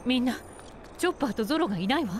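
A woman playing a poised, observant adventurer in an animated game cutscene. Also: on the high side, racing, a little hiss or hum, natural voice. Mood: alert.

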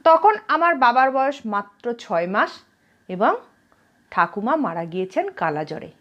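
A woman reading a story aloud as a narrator, in an expressive storytelling style. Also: a little high, unhurried, dull audio. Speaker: story reader, channel host.

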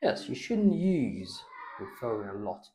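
A man's voice: medium pitch, big pitch swings, takes it slowly, dark tone, slight background noise. A young man giving a spoken English test, patiently prompting and correcting a student's answers in a small classroom. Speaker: English teacher.

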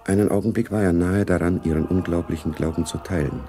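A man's voice: deep voice, fast talker, mellow, faint music behind. A man narrating a spy novel in a German radio drama, as a sober literary storyteller. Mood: sober, reflective.

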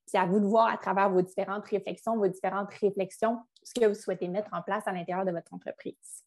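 A woman's voice: mid-range voice, steady tone, fast talker, a little mellow. A young woman with a Quebec French accent giving a business webinar on a video call, in a chatty style with frequent restarts. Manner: conversational, with frequent restarts and fillers. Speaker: business succession advisor. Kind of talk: webinar presentation.

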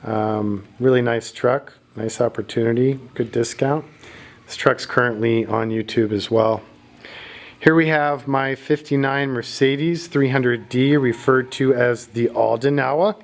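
A man's voice: mid-range voice, measured pace. A man giving a casual walking tour of his custom vehicle workshop, listing technical details with frequent fillers. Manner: relaxed, technical, filler-heavy. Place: large indoor workshop and showroom.

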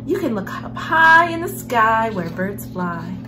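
Young lady doing kids story time, lilting expressive storyteller voice, music playing behind. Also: fairly high pitch, a little slow. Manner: expressive, animated storyteller, with hushed passages. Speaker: host of a children's read-aloud series. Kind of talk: picture book read-aloud for children. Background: background music.

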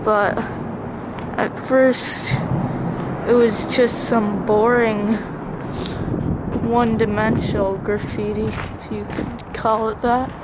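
Casual outdoor vlogger, highish voice, very drawn-out unscripted delivery, handheld camera sound with noticeable background noise. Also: flat delivery. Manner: halting, unscripted. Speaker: vlogger exploring ruins. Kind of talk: casual narrated walk-through vlog. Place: outdoors in woods by a river and bridge. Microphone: handheld camera mic, operator behind camera. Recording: noisy outdoor camera audio. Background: ambient outdoor noise, passing vehicles.

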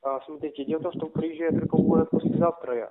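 A man's voice: fairly high pitch, fairly even intonation, fast, crowd noise. A man chatting casually on a phone call about a rental, in a wordy, repetitive way full of filler phrases. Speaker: man offering a flat for rent by phone. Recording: muffled narrowband phone-call audio.

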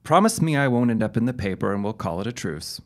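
An actor playing a brisk, wry police detective in a period audio drama, with a formal, clipped way of talking. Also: male voice, on the low side, expressive.

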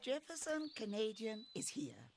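A woman voicing an effusive, bossy puppet competition organiser with drawn-out scripted lines. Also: fairly high pitch, wildly swooping pitch, gravelly, exaggerated voice. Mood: effusive, organising. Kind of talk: scripted educational puppet dialogue. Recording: faint noise behind dialogue.

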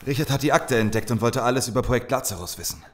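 An actor playing a battered, distrustful survivor soldier in an audio drama, blunt and skeptical. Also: male voice, mid-range voice, expressive, fast talker, lightly acted. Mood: weary. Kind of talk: German audio drama acting.

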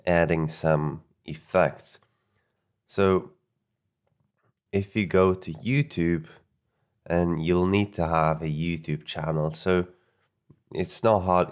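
Slow, very deep-voiced man giving a tutorial. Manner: halting, often repeats words. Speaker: audio editing tutorial presenter. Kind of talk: software tutorial narration, unscripted.

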